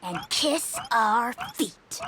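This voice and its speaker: A woman's voice: fairly high pitch, very animated, drawn-out words, background noise, heavily acted. A woman voicing a small, haughty dragon lord in a video game, grandiose and mocking toward everyone. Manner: grandiose, mocking, laughs at others.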